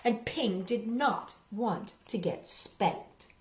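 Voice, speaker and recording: A middle-aged woman reading a picture book aloud as a storytime host, expressive and dramatic, addressing young listeners directly. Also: mid-range voice, takes it slowly. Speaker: children's storytime host and reader. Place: small home room, indoors, seated on a sofa. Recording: roomy, slightly dull home video sound.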